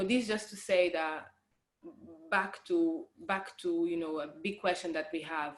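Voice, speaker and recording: Young woman, 20s, low-pitched, reflective interview guest; slightly echoey room sound. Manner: thoughtful, many fillers and restarts. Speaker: guest, design graduate. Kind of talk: conversational interview answer.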